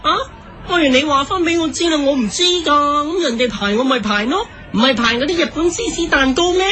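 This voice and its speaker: A woman's voice: high-pitched, brisk, fairly bright tone. A woman with a Hong Kong Cantonese accent playing a brash, clueless, chatty woman interviewed in a queue in a radio comedy. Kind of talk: mock vox pop in radio comedy.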